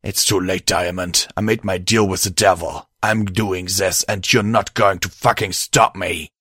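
A bitter male unicorn from a fantasy tale played by a man, stubborn and desperate. He speaks curtly and combatively in a lowish, dry voice. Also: a little slow, fairly bright tone, no room sound. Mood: angry. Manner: curt, sweary, confrontational.

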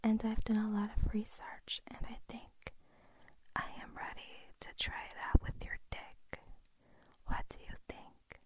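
Woman, soft teasing whisper, intimate roleplay, flat even tone, fairly high. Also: unhurried. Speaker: girlfriend in audio roleplay.

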